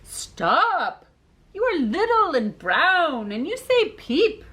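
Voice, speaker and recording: A woman's voice: extremely high pitch, very animated, slow pace, pushed and bright, a little hiss or hum, voice pushed up, heavily acted. A woman voicing a stern, bossy crow in a read-aloud picture book, scolding and insistent.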